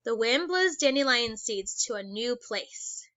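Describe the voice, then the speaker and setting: A woman teacher reading a children's book aloud. She reads slowly and stops to ask the class questions. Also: a little high, expressive, sharp tone, dry recording, highs cut off. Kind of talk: read-aloud lesson for children.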